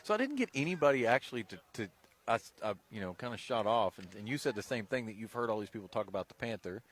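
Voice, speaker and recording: A man's voice: mid-range voice, quick pace. A male radio sports broadcaster, telling stories casually on air and animatedly acting out what people said. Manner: animated storyteller, acts out quotes. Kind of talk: casual on-air storytelling and banter. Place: live high school football radio broadcast.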